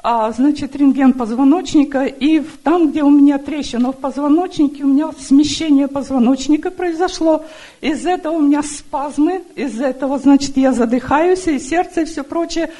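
A middle-aged woman giving an earnest personal church testimony, halting often and searching for words. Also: high voice, dark tone. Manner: earnest, halting, with many fillers.

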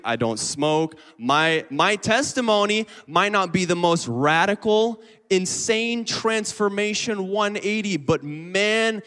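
A man's voice: a little high, fairly bright tone, smooth. Young man preaching casually in a reverberant hall. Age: 20s. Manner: casual, chatty preaching with many fillers. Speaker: lay preacher. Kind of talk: sermon. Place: church hall.

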